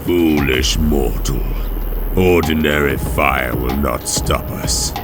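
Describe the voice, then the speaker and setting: An actor playing an ancient, menacing mummy creature in an audio drama, speaking pompously and scornfully of mortals. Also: male voice, rumbling low. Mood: menacing contempt. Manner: slow, pompous, archaic speech. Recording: music behind the voice.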